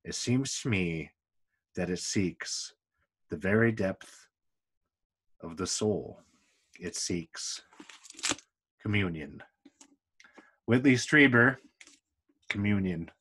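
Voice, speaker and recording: A man with a fairly low voice reads from a book. He delivers the text deliberately, phrase by phrase, leaving pauses as he goes. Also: expressive, a bit bright, breathy edge. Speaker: book reader. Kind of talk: reading a book aloud.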